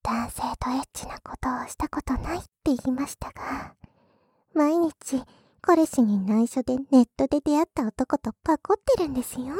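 A woman playing a coy, secretly lewd maid in an intimate adult ASMR roleplay, teasing her master in a breathy voice that often drops to a whisper. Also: sits high, normal speed, a little mellow, no echo, studio quality, natural voice. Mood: mock apologetic, sultry.

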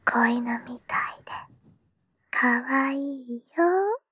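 A woman voicing a teasing, doting schoolgirl little sister in a whispered ASMR roleplay, breathy and playful. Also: sits high, animated, exaggerated voice. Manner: teasing, playful, slow and soft. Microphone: close microphone.

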